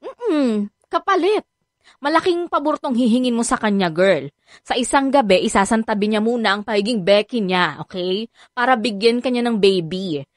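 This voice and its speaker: An actress with a Filipino accent playing a sassy, frank best friend in a radio drama, teasing and exclaiming in slang. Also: female voice, a little high, animated, normal speed, no echo, studio quality, close to an everyday voice. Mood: frank scolding.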